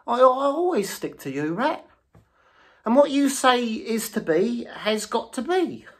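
A storybook reader voicing a loyal, wistful young male mole, soft and a little hesitant, with a husky voice. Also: mid-range voice, very animated, unhurried, voice pushed up. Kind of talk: storybook reading.

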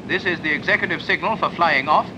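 A British man narrates a wartime-era training film in clipped, orderly sentences. His voice sits a little high for a man. The old 1940s soundtrack is clearly noisy. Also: quick pace.

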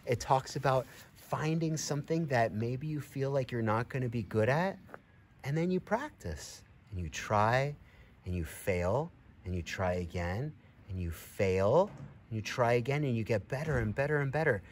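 A middle-aged man with a mid-pitched, very lively voice reads a picture book to children like an encouraging teacher. He often steps out of the story to chat with his listeners. It is recorded outdoors, with some background ambience. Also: normal speed, slight background noise. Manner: expressive, encouraging, addresses children and animal directly. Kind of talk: animated storybook reading with friendly asides to young listeners. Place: outdoors in a grassy farmyard by a barn.

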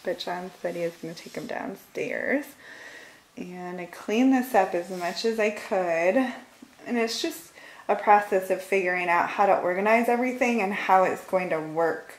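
A woman's voice: medium pitch, rough recording. Chatty Michigan woman giving a home tour. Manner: chatty, laughs often, trails off. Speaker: vlogger. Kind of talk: casual home tour vlog. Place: house, indoors, moving through rooms.

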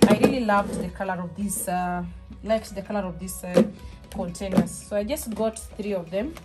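A woman chatting casually while she unpacks groceries in her small home kitchen. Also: medium pitch. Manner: chatty, relaxed, restarts and fillers, soft laughs. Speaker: vlogger. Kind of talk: casual shop-with-me haul chat. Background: background music.

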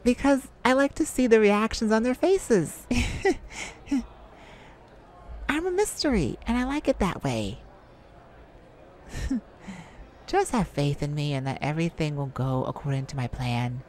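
A woman's voice: on the low side, animated, normal speed, a little mellow, voice pushed down, close to an everyday voice. A woman voicing a shy, sweet, easily flustered young male singer in an ASMR roleplay, with a stammering, earnest manner. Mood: sweet, bashful.

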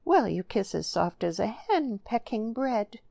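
Woman voicing a high-pitched, coy young country girl. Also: big pitch swings, unhurried, gravelly, dark tone, no echo, heavily acted. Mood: coy, teasing. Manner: coaxing, coy.

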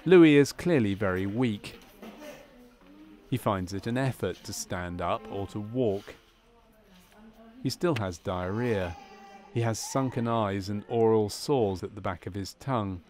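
A man reading scripted narration for a medical training film in a measured, formal manner. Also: lowish voice, darkish tone.

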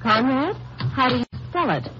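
An actress playing a sharp, forthright woman sidekick in a 1950s radio drama, with a brisk, questioning manner. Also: high voice, lively intonation, a little hiss or hum. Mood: alert, concerned. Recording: muffled vintage radio recording.

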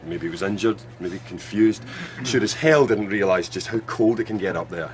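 An actor playing a calm, wry researcher in a radio drama, briefing his team in a clipped, matter-of-fact way. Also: fairly low pitch, normal speed, close to an everyday voice, low-quality recording.

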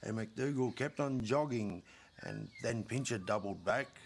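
Slow-paced man reciting verse. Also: mid-range voice, slight background noise. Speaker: poetry reader. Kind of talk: dramatic recitation of a comic bush ballad.

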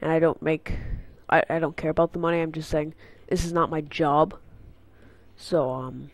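A man's voice: fairly high pitch, fairly even intonation, moderate pace, dry recording. A teenage boy with a congested, stuffy nose from a cold, rambling casually through a channel update on an unfamiliar headset mic. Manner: rambling, filler-heavy, goes on tangents. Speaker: gaming channel host.

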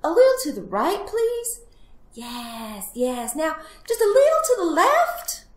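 Woman acting a high-pitched wily little piglet. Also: wildly swooping pitch, slow pace, heavily acted. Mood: coaxing, pleased. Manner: squealing, sighing, coaxing. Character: small, wily male piglet, pleading, falsely meek. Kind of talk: storybook character voice. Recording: roomy, echoing sound.

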